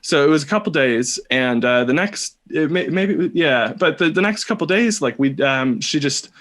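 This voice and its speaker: A man's voice: on the high side, animated, a bit bright. Hesitant Californian man, casual storyteller. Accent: Californian American. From California, United States. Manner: hesitant, rambling, with many pauses. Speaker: podcast guest telling a personal story.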